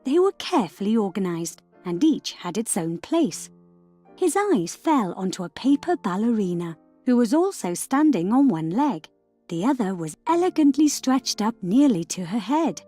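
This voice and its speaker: A woman storyteller narrating a scripted fairy tale for children in a measured, expressive way. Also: fairly high pitch, slightly rough.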